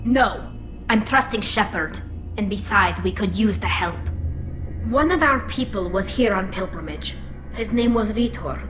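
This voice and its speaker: An actress playing a loyal, firm alien squad leader in a video game, speaking earnestly and assertively. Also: female voice, mid-range voice, lively intonation, a little slow, a bit bright, soft background music, rough recording.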